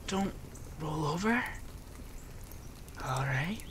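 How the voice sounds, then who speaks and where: A man playing an affectionate, teasing femboy monster companion in a soft-spoken ASMR roleplay, with an intimate, breathy, half-whispered delivery. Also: fairly high pitch, animated, very slow, noisy background. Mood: shy, apologetic.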